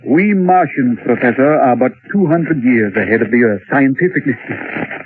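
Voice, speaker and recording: An actor playing a formal, measured and condescending Martian invasion leader in an old radio drama. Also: male voice, mid-range voice, lively intonation, lightly acted. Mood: matter-of-fact. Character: coolly polite, superior Martian invasion leader. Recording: tinny, faintly noisy old radio recording.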